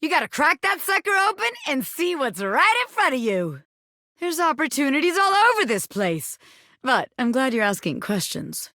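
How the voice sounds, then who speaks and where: A woman's voice: high voice, sing-song, moderate pace, pushed and bright, dry recording, studio quality, heavily acted. A woman voicing a cocky, self-important bobby pin who fancies itself a crime boss in a video game, swaggering, slangy and boastful. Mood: sly, persuasive. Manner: swaggering, slangy, boastful, peppering talk with pet phrases. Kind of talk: video game character voice acting.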